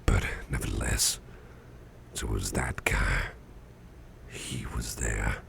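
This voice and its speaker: An actor playing a sickly, gruff but genial boss in an ASMR roleplay, musing slowly and haltingly in a half-whisper close to the microphone. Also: male voice, steady tone, a bit bright, a little hiss or hum, full character voice. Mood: musing, uneasy. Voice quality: very deep, gravelly, breathy half-whisper. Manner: slow, halting, folksy musing with pauses.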